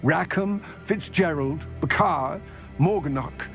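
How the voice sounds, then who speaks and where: A man playing a grave, proud and weary goblin in a video game, speaking in a formal, measured way, over music. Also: on the high side. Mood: grave, guarded. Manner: formal, measured, curt when displeased.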